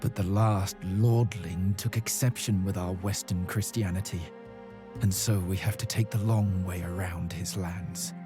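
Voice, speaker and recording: A man's voice: low voice, steady tone, a little slow. A man playing a devout, brooding medieval warrior, narrating a quest solemnly in a video game. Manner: solemn, pious. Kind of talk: dramatic scripted narration in a game. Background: music.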